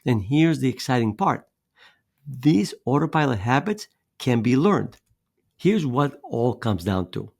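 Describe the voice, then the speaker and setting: A man's voice: medium pitch, very animated, unhurried, gravelly, a little mellow. A man from Greece giving an earnest, persuasive presentation as a fitness coach, with the odd repeated word and filler. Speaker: fitness coach presenting his weight loss method. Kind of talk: scripted motivational presentation.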